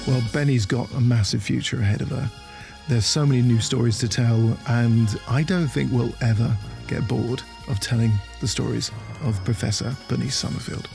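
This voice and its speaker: A man's voice: medium pitch, steady tone, moderate pace, creaky. A man talking casually in a documentary interview. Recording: loud music behind the voice.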